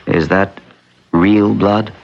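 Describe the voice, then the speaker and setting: A man's voice: on the low side, steady tone, drawn-out words, creaky, slight background noise, full character voice. An actor playing an uneasy, reluctant man drawn into a deception, earnest and hesitant, in an old-time radio drama. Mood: troubled. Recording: dull old radio broadcast recording.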